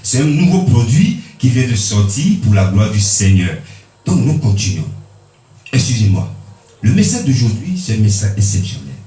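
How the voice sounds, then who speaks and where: A man from Benin preaching a sermon, emphatic, with pitch swinging high and low for stress. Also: big pitch swings, normal speed, warm tone, faint music behind. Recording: echoey room, mic at a distance.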